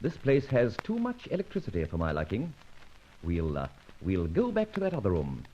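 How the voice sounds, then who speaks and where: An actor playing a young British masked thief in an old-time radio detective drama, mock-polite, wry and commanding. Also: male voice, lowish voice, huge pitch swings, moderate pace, warm tone, slight background noise. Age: young adult. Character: suave masked young vigilante thief, bold, mocking, menacing. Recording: dull vintage radio recording.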